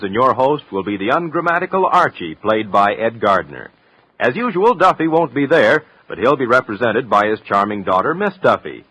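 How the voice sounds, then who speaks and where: A man working as a network radio announcer, reading scripted 1950s promos in an emphatic, showy style with a husky edge. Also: medium pitch, pushed and bright, no room sound, rough recording.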